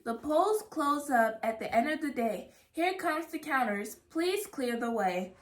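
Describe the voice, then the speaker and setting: A woman reading a rhyming children's picture book aloud in a measured, careful way. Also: sits high, lively intonation. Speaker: read-aloud reader. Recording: echoey room.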